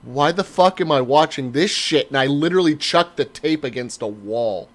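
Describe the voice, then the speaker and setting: A man in his thirties chatting casually as a podcast co-host, telling stories in an animated way with emphatic swearing. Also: on the high side, moderate pace. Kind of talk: casual banter, profane chat.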